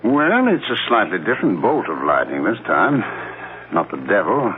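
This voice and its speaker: Dry, unflappable clubman, bass voice with expressive dips, tinny radio drama sound. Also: normal speed, soft background music. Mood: dryly amused. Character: dry, unflappable club gentleman named Cudworth. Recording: tinny old radio recording.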